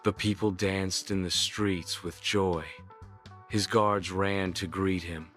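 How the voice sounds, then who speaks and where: Male narrator for bedtime tale: low voice, unhurried, solemn, formal, soft music bed. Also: fairly even intonation. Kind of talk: scripted bedtime story narration.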